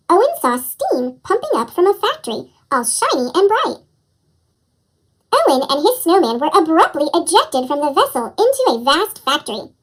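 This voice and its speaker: A narrator reading a children's picture book aloud in an animated way, with a squeaky, childlike chipmunk voice effect. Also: low-quality recording. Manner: animated storytelling. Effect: chipmunk voice pitch-up effect. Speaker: storybook narrator.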